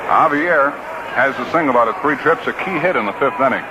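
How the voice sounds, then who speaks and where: An American man does live play-by-play of a baseball game on vintage radio. His voice sits at a medium pitch, and he talks in short, conversational, folksy phrases. The old broadcast has clear noise behind him. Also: animated, fairly quick, lo-fi sound. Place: outdoor ballpark broadcast booth.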